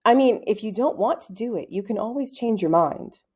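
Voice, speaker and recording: Confident schoolboy schemer read by a woman, casual, persuasive, mellow storybook delivery. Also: medium pitch, expressive, moderate pace, husky. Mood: checking. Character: confident schoolboy planning an adventure.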